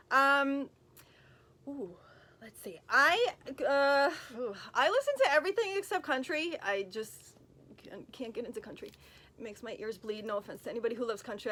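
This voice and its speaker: A woman's voice: fairly high pitch, sharp tone. Chatty Brooklyn woman vlogging from bed. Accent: Brooklyn New York. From Brooklyn, New York. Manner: chatty, rambling, self-deprecating, lots of fillers and asides. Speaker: vlogger answering fan questions. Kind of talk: casual Q&A vlog from bed. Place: in her bed at home. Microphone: phone recording.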